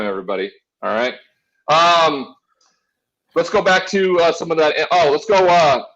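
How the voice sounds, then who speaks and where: Higher-voiced rambling man in his forties, dull audio. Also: a bit bright. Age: 40s. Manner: rambling, boisterous, swears freely. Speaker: talk show host. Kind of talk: casual sports chat with personal anecdotes.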